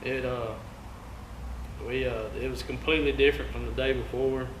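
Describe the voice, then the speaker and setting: A man from East Texas with an East Texas accent, a guest angler talking casually in a laid-back, halting way full of fillers. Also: medium pitch, flat delivery. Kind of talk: casual chat. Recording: echoey room with loud ambient noise.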